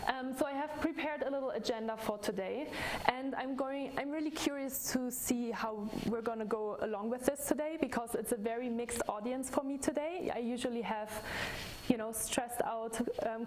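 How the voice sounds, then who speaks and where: A woman's voice: on the high side, slight background noise. A woman in her thirties from Germany with a German accent, giving a chatty live talk to an audience as a stress management coach. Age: 30s. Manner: friendly, chatty, with restarts. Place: room with audience.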